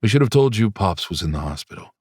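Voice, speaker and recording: A man's voice: on the low side, sing-song, quick pace, slightly rough, dry recording, clean studio sound. A man voicing a caring middle-aged father who speaks earnestly. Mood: apologetic.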